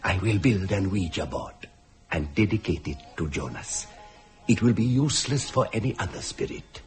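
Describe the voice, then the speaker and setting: An actor playing an earnest man who solemnly and deliberately tells a family ghost tale in a radio play. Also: low voice, animated, soft background music. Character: earnest man telling his great-grandfather's ghostly tale. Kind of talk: dramatic radio play storytelling.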